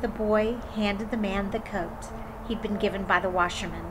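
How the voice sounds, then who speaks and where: A woman storyteller reading a children's folktale aloud in an expressive way. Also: medium pitch, moderate pace, background noise. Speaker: drama storyteller.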